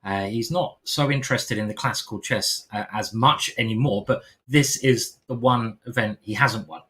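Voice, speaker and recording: A man's voice: lowish voice, moderate pace, a bit bright. An English man commentating live chess in a playful, chatty way, with many fillers and restarts.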